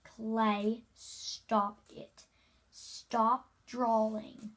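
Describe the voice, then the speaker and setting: Child playing a stern, slow-spoken male art teacher. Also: mid-range voice, little pitch movement, a bit bright. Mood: strained, scolding. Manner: strained, scolding, drawn-out.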